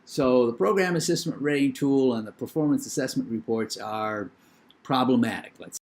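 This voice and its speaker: Older guy w/ new england accent lecturing, measured and opinionated, lots of uhs. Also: medium pitch, darkish tone. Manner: measured, opinionated, with thinking pauses and fillers. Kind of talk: recorded lecture.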